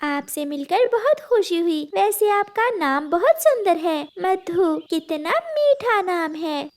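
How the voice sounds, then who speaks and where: A woman voicing a caring, polite young girl bird in a cartoon story, gentle and earnest like a child. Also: extremely high pitch, big pitch swings, slow pace, full character voice. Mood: friendly.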